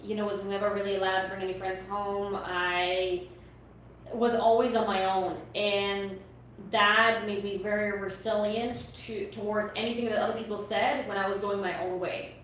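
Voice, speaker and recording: A woman's voice: mid-range voice, little pitch movement, normal speed. A young woman from Austria, German her first language, speaks earnestly as an interview guest, often restarting her phrases. She sits far from the mic in a very echoey space with faint background noise. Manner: earnest, repeats words while starting sentences. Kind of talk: casual interview talk.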